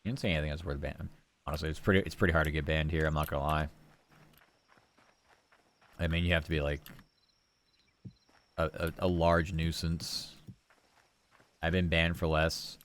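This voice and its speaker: A man's voice: rumbling low, fairly even intonation, normal speed, long pauses, a bit bright. A man livestreaming gameplay, chatting casually with viewers in a cozy, easygoing voice, musing aloud and trailing through his thoughts. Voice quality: comfy, cozy tone.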